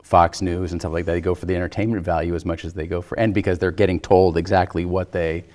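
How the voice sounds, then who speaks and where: A man with a fairly low voice talks casually as a panelist in a discussion. He is hesitant, repeating himself and circling round before he settles on his point. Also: flat delivery, quick pace, darkish tone.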